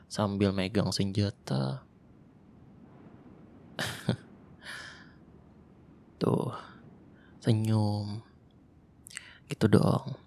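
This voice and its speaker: Man playing a gravelly, protective zombie-apocalypse survivor. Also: fairly low pitch, full character voice. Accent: Jakarta colloquial. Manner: soft, teasing, reassuring. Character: tough male ex-special-forces zombie survivor, protective, flirty. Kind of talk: ASMR roleplay.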